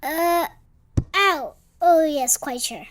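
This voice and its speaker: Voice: sits high, drawn-out words, a bit bright, exaggerated voice. A performer playing an innocent, blunt little boy in an audio melodrama, plaintive and simple in delivery. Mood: feigned innocence. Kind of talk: melodramatic audio drama acting.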